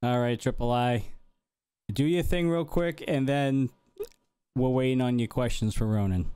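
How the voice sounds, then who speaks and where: A man's voice: medium pitch, unhurried, mellow, clear, resonant tone, no echo. A man hosting a podcast over a video call, blunt and teasing, swearing casually. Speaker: podcast host.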